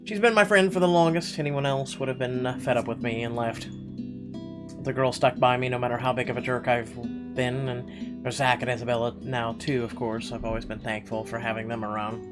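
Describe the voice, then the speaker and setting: A man reading a visual novel aloud as first-person dramatic narration, halting now and then over the words. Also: medium pitch, fairly even intonation, over music. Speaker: game player reading the text aloud.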